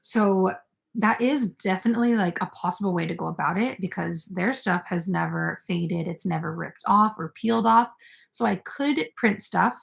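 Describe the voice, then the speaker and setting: Chatty young woman with heavy vocal fry. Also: mid-range voice, normal speed. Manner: chatty, filler-heavy, reads viewer comments aloud. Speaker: crochet YouTuber hosting a live stream. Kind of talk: casual live-stream chat.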